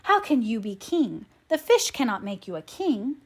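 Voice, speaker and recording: Woman reading as a doleful henpecked fisherman, high-pitched. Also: very animated, normal speed. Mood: doleful, worried. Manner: mournful, pleading.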